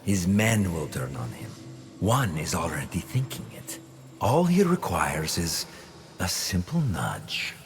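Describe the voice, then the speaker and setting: Scheming conspirator, deep, animated intonation, persuasive, menacing, theatrical, game cutscene. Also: male voice, soft background music, heavily acted. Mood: calculating. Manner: theatrical, deliberate, silky persuasion. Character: suave, scheming older male conspirator mastermind, patient and menacing. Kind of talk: video game cutscene acting.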